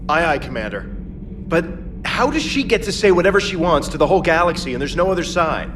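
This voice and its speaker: A man's voice: on the high side, sing-song, fairly quick. An actor playing a loyal, indignant military crewman in a video game, earnest and accusing. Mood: grudging. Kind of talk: video game character dialogue. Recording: clear ambient background noise.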